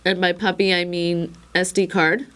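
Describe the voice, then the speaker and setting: A slightly hoarse woman giving a casual, chatty product demonstration, with frequent fillers and wry asides. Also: lowish voice, little pitch movement, moderate pace, a bit bright. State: a little hoarse. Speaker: instructor demonstrating a recorder.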